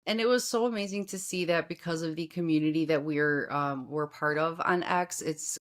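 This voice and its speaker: A woman with a notably low voice hosting a podcast conversation. She chats casually and gushes, piling on enthusiastic praise for her guest. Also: normal speed. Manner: effusive and gushing. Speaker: podcast host. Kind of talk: casual interview chat.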